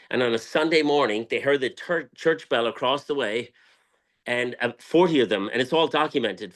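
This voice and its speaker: An Irish man telling history as an interview guest, speaking emphatically and passionately, repeating phrases for weight. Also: medium pitch. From Ireland. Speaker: guest, tequila brand owner.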